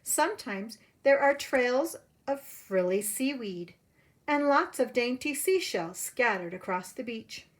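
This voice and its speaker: A woman preschool teacher telling a story to young children in an expressive way, with simple phrasing and direct questions. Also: on the high side, takes it slowly.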